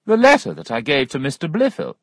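A man's voice: on the high side, wildly swooping pitch, fairly quick, no echo, voice pushed up, heavily acted. A man voicing a deferential lawyer in a storybook reading, polite, unruffled and matter-of-fact.

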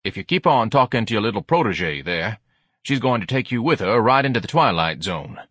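A man's voice: on the low side, sing-song, a little fast, fairly bright tone, husky, dry recording, exaggerated voice. A man voicing an exasperated middle-aged husband in an audiobook, with a dry, grumbling manner.

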